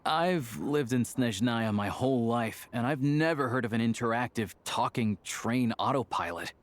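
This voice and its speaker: An actor playing a serious, steady young man in a video game, speaking in a measured, earnest way. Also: medium pitch, no room sound, lightly acted. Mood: puzzled, wry. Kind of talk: dramatic video game acting.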